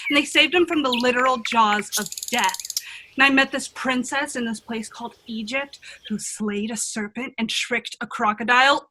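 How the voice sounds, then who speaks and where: A woman acts an excitable young fairy-tale heroine in a radio play. The voice sits very high and rings bright. The talk gushes and rambles in friendly bursts. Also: low-quality recording. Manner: rambling, gushing.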